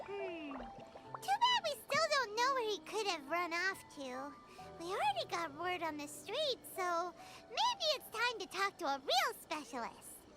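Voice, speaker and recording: Tiny excitable female sprite, very high squeaky voice, refers to herself by name, game cutscene, loud music behind. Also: a little slow, full character voice. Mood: curious. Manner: talks about herself in third person, indignant outbursts. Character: tiny floating female companion creature, excitable. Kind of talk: game voice acting.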